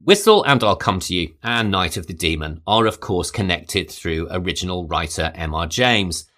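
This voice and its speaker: A man with a very low yet bright-edged voice delivers scripted film commentary. His delivery is measured and articulate, with a lively rise and fall in pitch that lends emphasis to each point. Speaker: podcast host.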